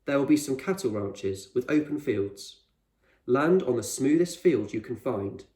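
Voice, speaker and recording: A man voicing an eccentric, proud male explorer in a storybook, speaking with measured authority and reassurance. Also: mid-range voice, animated, darkish tone, lightly acted. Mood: firm, instructive. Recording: roomy echo, distant microphone.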